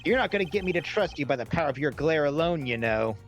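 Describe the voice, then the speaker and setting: Man acting a brash, theatrical agent, animated delivery. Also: on the high side, soft background music, lightly acted. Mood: confrontational. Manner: theatrical, emphatic, drawn-out words. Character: proud male agent with a wolf fixation, brash and confrontational. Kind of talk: fan dub of a mystery video game.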